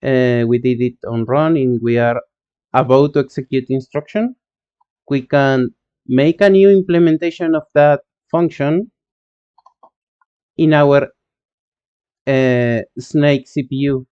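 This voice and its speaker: A man from Argentina with an Argentine Spanish accent, a native Spanish speaker live-streaming his coding and reading chat, talking in halting phrases with many fillers and restarts. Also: medium pitch, clear, resonant tone, lo-fi sound.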